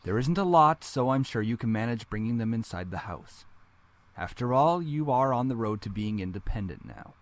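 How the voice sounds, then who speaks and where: A man's voice: medium pitch, animated, moderate pace, no echo, close to an everyday voice. An actor voicing a stern, cold middle-aged father in a visual novel game.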